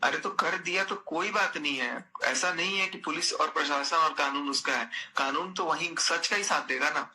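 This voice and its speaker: Sharp, insistent man on a call. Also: fairly high pitch, moderate pace, hardly any pauses. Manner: insistent, repeats phrases. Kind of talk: heated personal phone conversation. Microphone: phone call. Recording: recorded phone call with faint noise.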